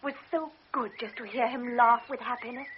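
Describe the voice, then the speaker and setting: A woman's voice: on the high side, fairly even intonation, a little fast, natural voice. An actress playing an eager young invalid girl pressing for news in a radio drama. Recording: dull old radio recording with loud hiss.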